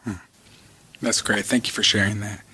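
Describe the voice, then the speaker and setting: A man's voice: fairly low pitch, level tone, moderate pace, bright, forceful tone, husky. A man hosting a conversational podcast interview, affirming his guest and often repeating words as he goes. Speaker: interview host.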